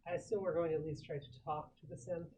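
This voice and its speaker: A man's voice: fairly high pitch, flat delivery, quick pace, crowd noise, low-quality recording. A man talking casually as a player in a tabletop game, asking questions in an inquisitive way.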